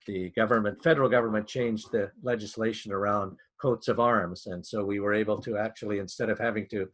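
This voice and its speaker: Lower-voiced male interview host. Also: fairly even intonation, fairly quick, a bit bright. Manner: prompting, affirming interjections.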